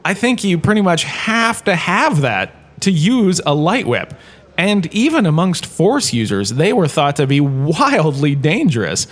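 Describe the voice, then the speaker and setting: A man's voice: a little high, big pitch swings, unhurried. A man playing a vain, washed-up drama star lecturing as a chatty, self-satisfied professor in a comic audio drama. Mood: excitable, boastful.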